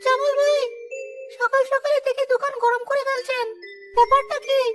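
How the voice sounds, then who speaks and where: A man's voice: very high voice, little pitch movement, moderate pace. A man voicing a mild, reasonable customer in a cartoon, polite and pleading as he moralizes. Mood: polite, concerned. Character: mild, reasonable male customer gently reproaching. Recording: clear background music throughout.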